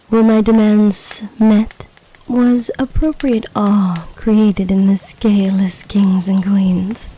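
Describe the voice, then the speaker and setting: A woman playing an imperious, amorous serpent empress in a fantasy audio drama, speaking formally and seductively in a breathy voice that often drops to a whisper. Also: medium pitch, mellow, poor audio. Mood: regal, commanding. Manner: slow, commanding, formal, seductive. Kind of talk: romantic fantasy audio roleplay.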